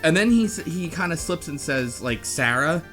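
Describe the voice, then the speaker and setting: A man's voice: fairly high pitch, expressive, normal speed, fairly bright tone. Blunt, rambling man over music. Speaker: podcast host. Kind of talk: casual book club discussion. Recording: music behind the voice. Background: background music.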